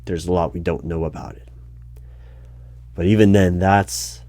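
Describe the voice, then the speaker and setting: Man acting a slow, low-voiced, uneasy bureau interviewer. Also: fairly even intonation, warm tone, no echo. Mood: incredulous, uneasy. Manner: halting, pausing, incredulous. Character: uneasy, disbelieving male hiring manager of a secret bureau. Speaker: solo roleplay performer. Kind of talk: one-sided ASMR audio roleplay interview. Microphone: close microphone with keyboard typing behind.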